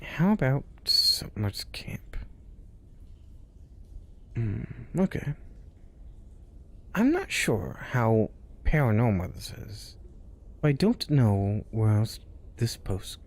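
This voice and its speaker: Man, spooky storyteller, hushed, whispering, slow-paced. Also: mid-range voice, big pitch swings. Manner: hushed, often dropping to a whisper. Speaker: storyteller host. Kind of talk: reading spooky stories aloud.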